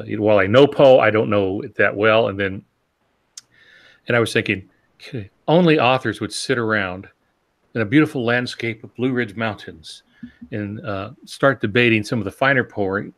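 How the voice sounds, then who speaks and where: Low-pitched man chatting on a podcast. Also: moderate pace. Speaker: podcast co-host. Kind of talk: casual conversational podcast talk.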